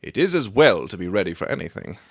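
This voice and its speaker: A man playing a self-assured gentleman detective in a dramatic audiobook, theatrical and articulate as he expounds his deductions. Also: fairly low pitch, very animated, fast, slightly rough, no echo, clean studio sound, heavily acted. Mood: confident, explaining.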